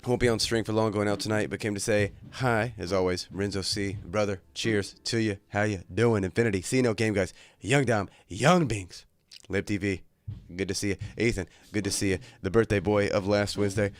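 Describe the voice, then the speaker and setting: A man's voice: fairly low pitch, fairly even intonation, normal speed. A young man hosting a casual livestream from his bedroom, chatting in a laid-back, crude, joking way, slightly congested from a cold. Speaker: livestream host.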